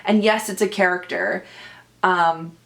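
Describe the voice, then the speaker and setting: Young woman host, boomy loud voice, lowish pitch, animated casual chat. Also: unhurried. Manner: chatty, animated, laughs often. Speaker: podcast host; actor. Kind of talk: casual solo podcast chat.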